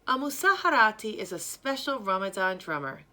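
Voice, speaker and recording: Bright-voiced woman reading a children's story. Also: medium pitch, big pitch swings, brisk. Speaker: storyteller reading a picture book. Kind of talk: read-aloud children's story narration.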